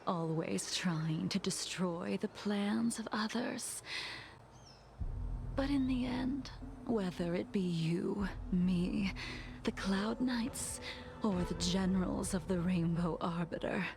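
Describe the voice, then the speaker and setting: A woman playing a grave, sorrowful swordswoman in a video game, speaking softly and formally in measured, breathy phrases. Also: mid-range voice, slight background noise. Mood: bitter, weary. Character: solemn, sorrowful swordswoman, a centuries-old condemned sinner. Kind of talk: dramatic video game voice acting. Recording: music playing behind the voice.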